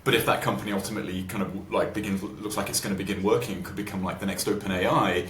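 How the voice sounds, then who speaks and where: A man with a low voice speaks in a roomy, echoing space with clear noise behind him. He explains things informally, like a podcast guest answering questions. His phrasing is colloquial and conversational. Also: fairly even intonation, fast, a bit bright. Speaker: venture capital investor, podcast guest.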